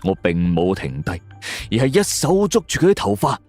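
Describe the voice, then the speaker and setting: A man's voice: on the low side, huge pitch swings, moderate pace, a little mellow, no room sound, faint music behind. A man narrates a suspense story in the first person, dramatically.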